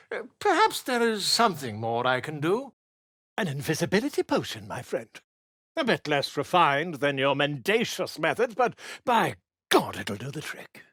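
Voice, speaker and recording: Pompous, theatrical bard, wildly animated. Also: male voice, high voice, dry recording, studio quality, exaggerated voice. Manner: pompous, florid, effusive diction. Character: flamboyant, self-important male bard and author, theatrical and excitable. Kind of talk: fantasy video game voice acting.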